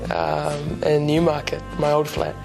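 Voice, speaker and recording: A young man in his twenties with a New Zealand accent, chatting as a musician guest in a relaxed, rambling way with lots of ums and restarts. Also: fairly high pitch, unhurried, gravelly, music in the background, low-quality recording. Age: 20s. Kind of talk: casual interview chat. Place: TV studio couch.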